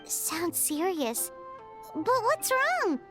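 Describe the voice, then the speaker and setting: A woman voicing a tiny, childlike fairy companion with a squeaky voice, excitable and easily puzzled, in an anime-style game. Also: very slow, faint music behind, heavily acted. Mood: worried, puzzled. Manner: speaks of herself in third person.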